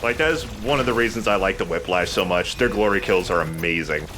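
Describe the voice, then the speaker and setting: A man's voice: low-pitched, quick pace, background noise. A man hosting a let's play with casual, joking, irreverent game commentary over game sound. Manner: irreverent, profane.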